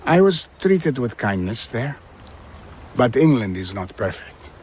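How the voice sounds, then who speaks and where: Earnest, worldly older traveller, ambient backdrop. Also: male voice, mid-range voice, very animated, measured pace, a little mellow, a little hiss or hum. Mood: genial. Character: worldly old male traveller-philosopher, idealistic and earnest. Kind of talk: audio drama acting.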